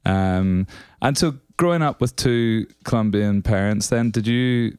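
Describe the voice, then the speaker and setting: Chatty man co-host with a low smooth voice. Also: a little slow, a little mellow, no echo. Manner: chatty, many "like" fillers. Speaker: podcast co-host. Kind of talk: casual interview chat. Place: podcast studio.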